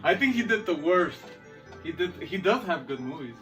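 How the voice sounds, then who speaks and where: A man's voice: fairly high pitch, very animated, normal speed, bright, forceful tone. A man co-hosting a podcast, talking casually and off the cuff about films and games with slangy, profane, jokey banter. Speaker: podcast co-host. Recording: far from the mic, music behind.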